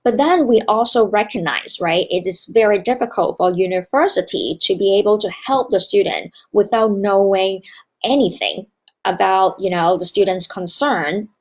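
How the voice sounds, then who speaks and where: A woman's voice: medium pitch, normal speed. Woman, dull call audio. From Hong Kong. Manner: earnest, many fillers and hesitations. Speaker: university student wellbeing director. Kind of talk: conference presentation. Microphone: remote video call.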